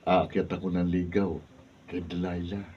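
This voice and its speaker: Man acting a low-voiced bold Filipino suitor. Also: flat delivery, normal speed, natural voice. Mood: determined. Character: bold, blunt male suitor, protective cousin. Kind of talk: Filipino radio drama acting. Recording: dull distant mic, faint room noise.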